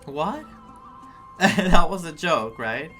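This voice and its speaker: A man doing playthrough commentary as a game streamer, reading on-screen text aloud and reacting in an animated way. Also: on the high side, extremely slow. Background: game music.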